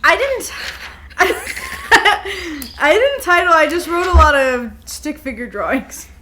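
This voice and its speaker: A young woman with a high, bright voice chats as a podcast co-host. Her talk is informal and giggly, full of "like". The room she records in is noticeably echoey. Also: expressive, slow pace. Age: young adult. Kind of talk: casual chat between friends.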